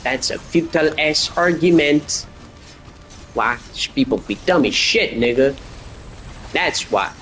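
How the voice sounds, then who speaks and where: A young man with a faint Boston accent hosts a casual solo podcast, rambling in a crude, joking way with deadpan asides. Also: a little high, takes it slowly, long pauses, soft background music. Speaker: podcast host.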